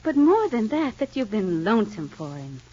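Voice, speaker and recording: A warm-hearted married woman who calms her friend, her husky voice gentle and motherly. The sound is dull and lo-fi, like an old radio broadcast. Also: medium pitch, moderate pace. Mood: soothing. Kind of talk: 1940s radio soap acting.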